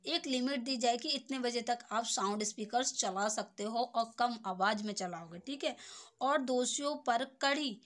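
Young woman, kinda high voice, casual tutor explaining stuff and checking you get it. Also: normal speed, a bit bright. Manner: explanatory, repeatedly checking understanding with tag phrases. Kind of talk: informal lesson explaining a handwritten letter.

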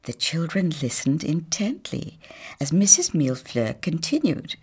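Female storyteller for a children's picture book, unhurried, with very animated intonation. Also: low-pitched, slightly rough. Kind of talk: expressive children's storybook read-aloud.

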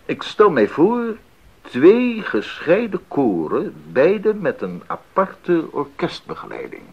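An actor playing an earnest, enthusiastic Baroque composer in a 1980s radio drama, speaking deliberately and expressively with thoughtful pauses. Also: male voice, a little high, wildly swooping pitch, husky, heavily acted. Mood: thoughtful, visionary. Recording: muffled 1980s radio recording.

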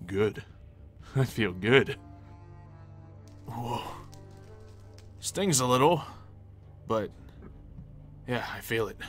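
A man's voice: medium pitch, expressive, extremely slow, long pauses, a bit bright. A man playing a cocky, reckless werewolf second-in-command in an improvised game roleplay, talking in a flippant, impulsive, casual way. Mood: excited, pleased. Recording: music behind the voice. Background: background music.

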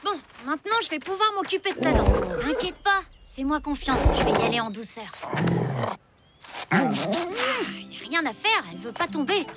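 A brave, kind little cartoon boy, voiced by a woman, earnest and excitable, quick to scold bullies. His voice sits very high and swings widely in pitch. Loud cartoon music plays behind him. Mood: gentle. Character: brave kind young boy, dinosaur's best friend. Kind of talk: French cartoon voice acting.

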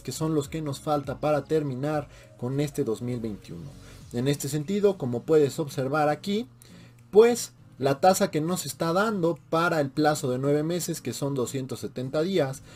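Young mexican guy doing a finance explainer at his webcam, upbeat, brisk, reads out lots of numbers. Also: fairly high pitch, darkish tone. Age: young adult. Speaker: personal finance youtuber. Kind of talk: explainer vlog walking through calculations. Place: small bedroom with shelves, indoors.

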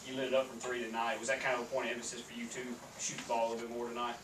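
A male reporter speaks very rapidly in an even, medium voice. He is questioning at a press conference, distant in a noisy room. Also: medium pitch, roomy sound.

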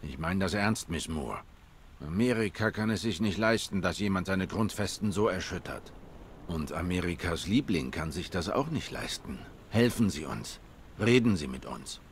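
Low, stoic cat detective. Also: male voice, lively intonation, slight background noise. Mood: stern. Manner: measured, serious, terse. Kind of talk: cinematic noir game acting.

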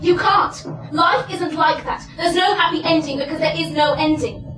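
A woman's voice: very high voice, normal speed, shouting. A young woman playing an insistent, fatalistic character who explains emphatically in a stage play. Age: young adult. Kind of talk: theatrical stage acting. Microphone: distant camera microphone. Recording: roomy stage, distant mic, background sound.

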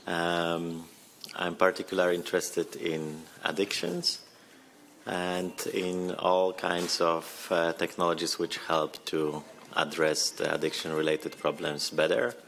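A man's voice: sits low, little pitch movement, takes it slowly, gravelly, a bit bright, slight background noise. A man in his thirties with a Polish accent, a scientist introducing himself hesitantly on a conference panel, speaking into a table microphone. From Poland. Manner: hesitant, many fillers. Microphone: table mic on stand.

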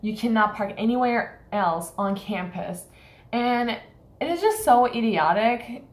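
A woman's voice: on the high side, animated, slow, roomy sound. A young woman from Turkey, a college student vlogging, talks frankly and full of fillers while complaining. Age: young adult. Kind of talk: casual vlog rant. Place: small room indoors.

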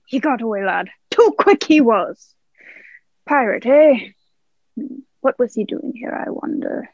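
Sly pirate sea cook, played by a woman: high, swooping, salty dialect, muffled dry radio-play recording. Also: lively intonation, unhurried, a little mellow, dry recording. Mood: hearty, sly. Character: sly, hearty peg-legged pirate ship's cook. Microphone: own device, remote recording.

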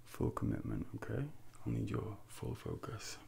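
A young man doing a soft, breathy ASMR role play as a calm Devil, soothingly guiding a listener into sleep with deliberate pauses, close to the mic. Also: fairly low pitch, a little hiss or hum. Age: young adult. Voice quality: breathy, half-whispered. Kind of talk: ASMR soft speaking, guided sleep hypnosis. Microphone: close binaural microphone.

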